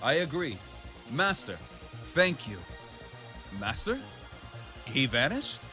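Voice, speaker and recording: A man's voice: mid-range voice, sing-song, slow pace. A man playing an earnest young warrior hero in a fan audio drama. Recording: music under the voice.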